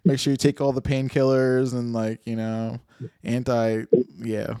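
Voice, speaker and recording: A man's voice: medium pitch, completely flat delivery, unhurried, a crowd in the background. A man in his late thirties hosting a casual chat, rambling, laughing and swearing freely. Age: 30s. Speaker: podcast host. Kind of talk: casual chat about moped rallies.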